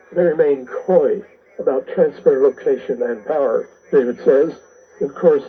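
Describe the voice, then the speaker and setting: A male host reads a scripted radio bulletin in a measured way. It comes through a shortwave receiver, muffled and narrow in band, with light noise behind the voice. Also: medium pitch. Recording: noisy, band-limited shortwave radio reception.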